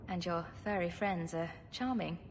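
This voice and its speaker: A woman's voice: fairly low pitch, normal speed, a little hiss or hum, natural voice. An actress playing a confident, sarcastic female rogue in a video game, with a dry, teasing way of talking. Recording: reverberant hall, music underneath.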